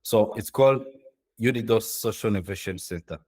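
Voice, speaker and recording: A man with a deep voice gives an informal presentation as a guest on a video call. His delivery is earnest and halting, with non-native English phrasing. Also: a little mellow. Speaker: guest speaker, social entrepreneur. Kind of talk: informal presentation of own work.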